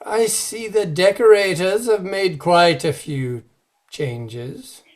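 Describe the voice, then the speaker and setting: A man's voice: sits high, takes it slowly. Man playing a stiff, courteous reverend. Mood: formal, reserved. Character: formal, courteous English village reverend. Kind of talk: old-time radio drama acting.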